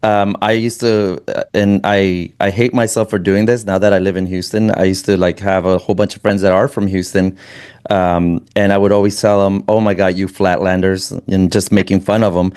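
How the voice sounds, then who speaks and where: Halting casual male podcast host. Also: deep voice, level tone, darkish tone, clear, resonant tone. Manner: rambling, halting with many restarts. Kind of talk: casual interview chat.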